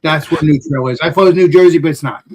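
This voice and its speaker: A man's voice: on the high side, fast, crowd noise. A man guesting in a casual beer chat, joking and repeating himself as he talks. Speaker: beer channel host, guest.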